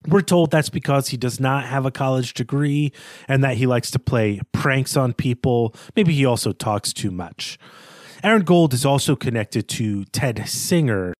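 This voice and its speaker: A man's voice: mid-range voice, dry recording, clean studio sound. A man co-hosting a podcast, explaining and thinking aloud in a conversational literary discussion. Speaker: podcast co-host.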